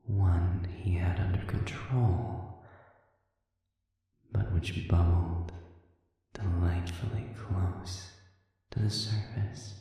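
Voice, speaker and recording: A man playing a sadistic, coolly amused demon in an audio roleplay, speaking in a measured, silky, condescending way with soft edges that slip toward a whisper. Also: sits low. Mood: gloating, savoring. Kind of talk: scripted audio roleplay monologue. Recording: very echoey reverberant room, distant.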